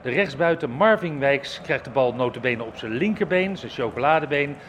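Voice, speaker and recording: A man's voice: medium pitch, lively intonation, normal speed, fairly bright tone, slight background noise. A man giving live football commentary as a radio reporter.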